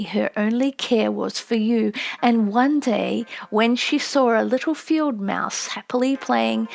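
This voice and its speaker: A woman voicing a pitying male holy master in an audiobook tale, gently and gravely teaching. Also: a little high, exaggerated voice. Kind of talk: audiobook character voice.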